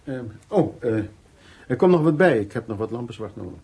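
An actor playing a nostalgic, reflective painter and lifelong traveller in a radio drama, phrasing his words in measured fragments. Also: medium pitch, animated, warm tone, breathy edge. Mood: polite, helpful. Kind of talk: radio drama monologue and dialogue.

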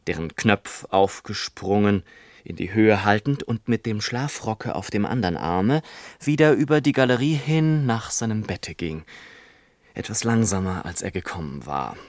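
An actor playing a wry, digressive gentleman who narrates his own life in a literary radio play, whimsical and mock-solemn. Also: on the low side, lively intonation, measured pace, dry recording. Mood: wry, measured.

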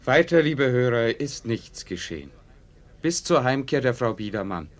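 Actor as a measured male radio-play narrator. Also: medium pitch, sing-song, natural voice. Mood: measured, matter-of-fact.

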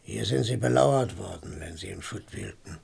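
An actor playing a bitter old father grumbling about his son in a German radio play, with a creaky, gravelly voice. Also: sits low, animated, moderate pace, darkish tone, exaggerated voice. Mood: confiding.

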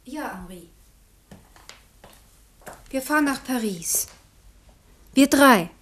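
A woman's voice: high voice, lively intonation, slow, fairly bright tone, exaggerated voice. An actress playing a stern, devout queen who speaks earnestly and firmly to her young son in an old radio drama. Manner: earnest, warning, firm. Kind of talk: 1970s radio drama acting.

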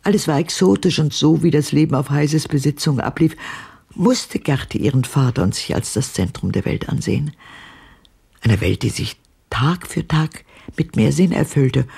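An older actress playing a bitter, haunted old woman in a radio drama, speaking deliberately and pointedly in a low, breathy voice that drops into whispers. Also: darkish tone, exaggerated voice. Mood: haunted, intense.

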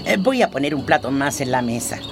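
Kindly, animated old grandmother. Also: a bass voice, a little fast, background noise, full character voice. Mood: delighted, welcoming. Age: older. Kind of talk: radio soap opera acting. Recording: music behind the voice.

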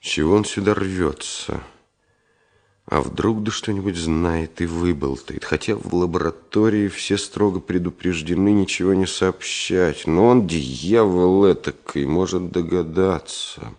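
An exasperated, low-voiced professor. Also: male voice, unhurried, darkish tone. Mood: grumbling. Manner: pleading, repetitive, blustering. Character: exasperated, fussing professor, old friend of the engineer. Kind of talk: 1970 Soviet radio drama acting.

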